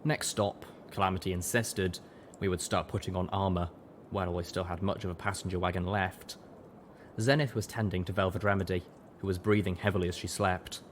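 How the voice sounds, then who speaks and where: A man's voice: low voice, little pitch movement, fairly quick, slight background noise. A man reading scripted first-person story narration as an audiobook narrator. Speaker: audiobook reader, show narrator.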